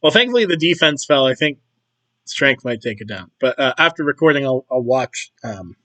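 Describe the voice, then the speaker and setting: A man losing his voice, chatting casually and rambling while playing a video game, often clearing his throat. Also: mid-range voice, very animated, normal speed, pushed and bright. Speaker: gaming YouTuber. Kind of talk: casual commentary over gameplay.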